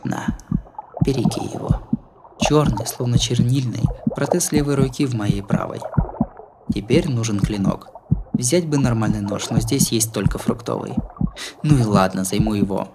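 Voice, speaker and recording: A man's voice: mid-range voice, moderate pace, very noisy. A man reading a novel aloud as an audiobook narrator, in a dramatised scripted style. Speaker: audiobook reader.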